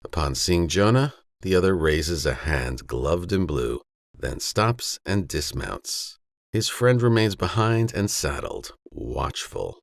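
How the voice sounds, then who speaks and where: A man narrating a western novella as an audiobook, in a measured, grave storytelling manner. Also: sits low, big pitch swings, creaky, no echo, studio quality.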